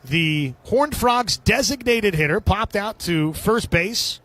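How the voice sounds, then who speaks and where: A man's voice: sits high, lively intonation, takes it slowly, fairly bright tone. A man doing live radio play-by-play commentary of a baseball game.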